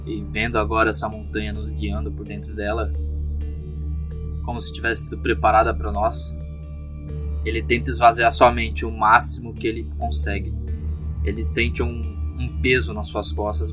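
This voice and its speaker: A man with a lowish, extremely flat voice narrates his roleplaying character in the third person. Music is clearly heard behind him. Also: moderate pace. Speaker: tabletop game player.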